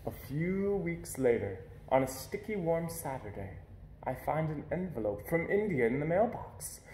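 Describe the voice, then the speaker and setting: A man with a slightly high voice reads a picture book aloud. He narrates expressively for children, and the room gives his voice a clear echo. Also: big pitch swings, a little slow, a little mellow, a little hiss or hum.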